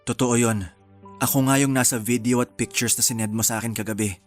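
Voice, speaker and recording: Actor as an earnest young boyfriend, medium pitch. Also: male voice, fairly even intonation, fairly quick, close to an everyday voice. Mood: earnest, loving. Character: earnest, candid young boyfriend declaring himself. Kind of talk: Filipino radio drama acting. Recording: music under the dialogue.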